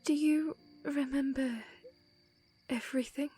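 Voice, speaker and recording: Actress as young princess haunted by loss: high voice, hushed and halting delivery, music underneath, audio drama. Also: female voice, fairly even intonation. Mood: tentative, sad. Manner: halting, hushed, formal wording. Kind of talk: fan audio drama acting.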